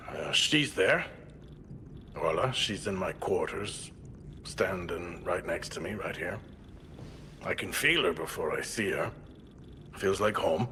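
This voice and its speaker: A man playing a troubled, guarded space colonist in therapy for a game audio log, speaking haltingly and reluctantly. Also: sits low, lively intonation, pushed and bright, slight background noise, full character voice. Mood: haunted, uneasy.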